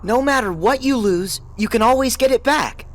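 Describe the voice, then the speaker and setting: A man's voice: very high voice, normal speed. An actor voicing an earnest, upbeat teenage boy hero in a video game cutscene.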